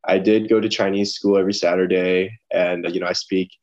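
A man's voice: low-pitched, fairly quick. A young man from Los Angeles, chatting casually as a podcast guest from his office, rambling with plenty of fillers. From Los Angeles, California. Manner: relaxed, rambling, many fillers like "you know". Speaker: guest, lawyer. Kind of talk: casual interview chat. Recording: dull lo-fi sound.

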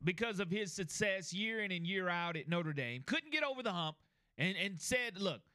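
A man co-hosts a sports talk show, making earnest points and often stumbling over his first words. His voice is high, bright and crisp. The sound is close and dry. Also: expressive, normal speed, dry recording. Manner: earnest, repeats words when starting sentences. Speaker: co-host.

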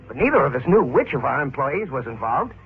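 An actor playing an earnest, suspicious husband wrongly accused in a vintage radio crime drama, speaking in an emphatic, clipped way. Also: male voice, mid-range voice, very animated, brisk. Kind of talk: 1940s-50s radio drama acting. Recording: narrow, noisy old radio recording.

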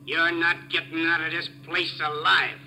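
A man playing a gloating American gangster killer with a gravelly voice in a 1940s radio thriller. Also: a little high, flat delivery, normal speed, bright, forceful tone. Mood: gloating menace. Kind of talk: 1940s radio crime drama acting. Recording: faintly noisy old radio broadcast.

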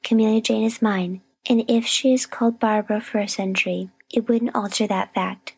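A woman reading a storybook, playing a stern, sharp-tongued spinster with a grim, scolding manner. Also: mid-range voice, fairly even intonation, moderate pace, vocal fry, husky, pitched above the performer's own voice. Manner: grim, firm, scolding, sniffy.

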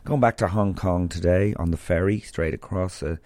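Deep-voiced rambling Irish man. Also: normal speed, darkish tone, no echo. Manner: rambling, chatty, loose storytelling. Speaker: podcast host. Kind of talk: casual rambling monologue and interview.

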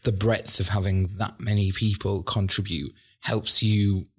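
A man's voice: on the low side, flat delivery, unhurried, slightly rough. A male journalist from northeast England interviewing a guest in a friendly, chatty way with stumbling restarts. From Newcastle, England. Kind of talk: interview conversation.

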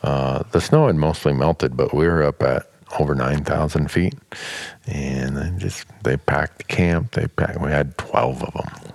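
Very deep-voiced chatty man in his fifties. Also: fairly even intonation, a little slow, creaky, darkish tone, no echo. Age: 50s. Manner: chatty, storytelling, chuckles often. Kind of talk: casual chat.

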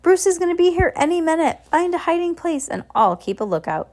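A woman voicing an excitable, dramatic young schoolgirl in a storybook, exclaiming and pleading. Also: medium pitch, a little slow. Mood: urgent, excited. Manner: exclaiming, breathless when pleading.

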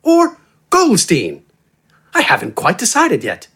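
A man voicing an eloquent, friendly, pompous gentleman doctor in an audiobook, with a relaxed and flowery flow of words. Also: high-pitched, takes it slowly, slightly rough, voice pushed up, heavily acted. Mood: suave.

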